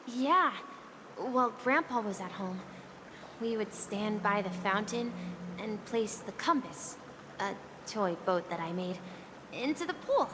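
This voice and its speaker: A woman playing a wistful, earnest young boy in a video game story, speaking softly and hesitantly. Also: mid-range voice, expressive, a little slow, noisy background, close to an everyday voice.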